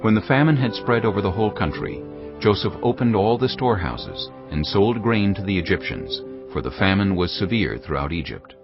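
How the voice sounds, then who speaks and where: A man's voice: fairly low pitch, very animated, a little fast. A man giving scripted narration for a dramatized scripture recording. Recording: dull audio with clear music.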